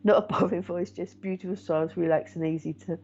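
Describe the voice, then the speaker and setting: A middle-aged woman, a meditation teacher and medium, chatting gently and reassuringly to viewers with many fillers. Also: fairly low pitch, fairly even intonation, a little fast, faint music behind. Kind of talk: casual live-stream chat reading viewer comments. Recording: dull lo-fi stream audio.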